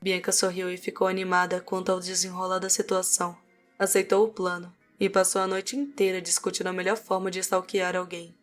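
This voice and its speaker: A woman with a Brazilian accent narrates a fantasy story in a low voice. Her delivery is fairly even in pitch, with music playing behind her. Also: fairly quick.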